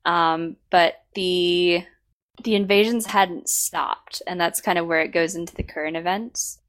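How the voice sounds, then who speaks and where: Hoarse woman with a sore throat. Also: fairly low pitch, fairly even intonation. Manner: halting, full of fillers. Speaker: podcast co-host. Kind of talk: casual podcast chat.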